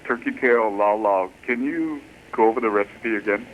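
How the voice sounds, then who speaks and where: A man's voice: lowish voice, moderate pace. A man calling in by phone, asking brief, polite questions. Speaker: caller. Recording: muffled phone line.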